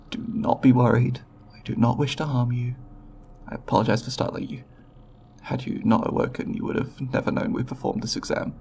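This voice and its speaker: A man playing a nervous, formal alien researcher in an ASMR audio roleplay, with a creaky voice and precise, clinical, hesitant wording. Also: mid-range voice, darkish tone, a little hiss or hum. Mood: anxious, placating. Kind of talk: ASMR roleplay, recorded report then reassurance.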